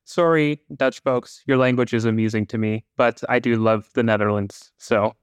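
A man's voice: medium pitch, fairly even intonation, normal speed, clear, resonant tone, no echo. Man, mid-range voice, dry sound, opinionated guest, casual chat. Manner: chatty, opinionated. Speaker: podcast guest. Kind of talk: casual podcast conversation.

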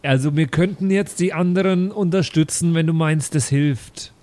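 Man, high voice, casual banter, improvised role-play, tabletop player. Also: normal speed, a little mellow.

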